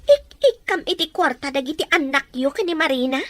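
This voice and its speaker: A woman's voice: high-pitched, lively intonation, a little fast, fairly bright tone. A woman playing an emotional, anxious wife in an audio drama, speaking in a nagging, confrontational way. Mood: hurt, accusing. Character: jealous, anxious wife pleading over household money. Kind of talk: amateur audio drama dialogue.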